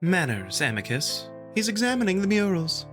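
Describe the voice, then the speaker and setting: Man putting on a high sing-song she-wolf noble. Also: very animated, normal speed, over music. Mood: poised, sociable. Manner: sing-song, teasing, theatrical. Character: haughty, playful sing-song young female wolf noble.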